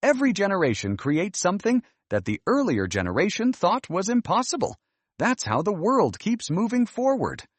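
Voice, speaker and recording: A kindly, wise storybook grandmother, voiced by a man, gentle and encouraging with her grandchildren. The pitch is high and swings widely, over a slightly husky tone. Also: huge pitch swings, moderate pace, no room sound, heavily acted. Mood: gentle, thoughtful.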